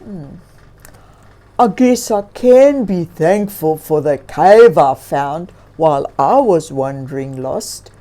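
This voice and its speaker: A woman voicing a lonely, grateful male grizzly bear in a children's storybook, speaking slowly with earnest emphasis. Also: low-pitched, animated, mellow. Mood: thoughtful, thankful.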